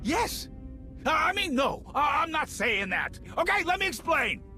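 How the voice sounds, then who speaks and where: A very high-pitched, brightly strained greedy schemer from a video game. He is flustered and over-eager, wheedling as his voice leaps all over the place. Music plays underneath. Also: big pitch swings, unhurried, full character voice. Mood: panicked pleading. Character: panicked, greedy, double-dealing male profiteer making excuses.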